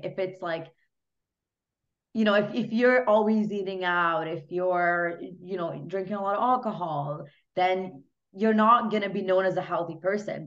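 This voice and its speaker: A woman's voice: medium pitch, highs cut off. A woman from Hungary talking casually and earnestly as a guest in an interview, in an informal, discursive way. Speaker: podcast guest. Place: remote online podcast call.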